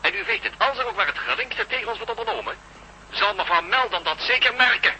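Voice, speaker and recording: A man's voice: high voice, quick pace, very noisy. A man playing a smug, mockingly polite hostage-taking sheriff in a radio drama, heard down a telephone line. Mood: threatening, commanding.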